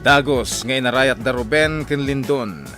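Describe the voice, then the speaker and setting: A man's voice: medium pitch, unhurried, pushed and bright, faint music behind. A man with an Ilocano accent reading scripted dramatic narration for a radio drama.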